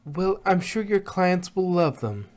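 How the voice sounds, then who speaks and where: A man's voice: sits high, big pitch swings, measured pace, a little mellow. A man voicing a friendly, earnest young female unicorn in a cartoon fan story reading. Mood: friendly, admiring.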